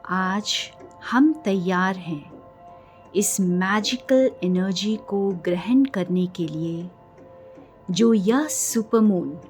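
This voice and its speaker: An Indian woman leading a guided meditation in a gentle, unhurried manner, leaving long pauses between phrases. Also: medium pitch. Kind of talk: guided meditation in a slow, soothing delivery. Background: music.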